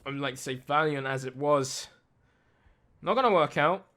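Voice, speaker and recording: A man commentating a competitive shooter match play by play, tired and a little raspy late at night. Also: fairly high pitch, normal speed, a bit bright. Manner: self-deprecating, talks through tech trouble. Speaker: esports caster.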